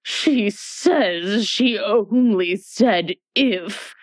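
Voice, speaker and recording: A woman voicing a stern, sharp-tongued queen in a storybook reading, slow and scolding. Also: mid-range voice, no echo.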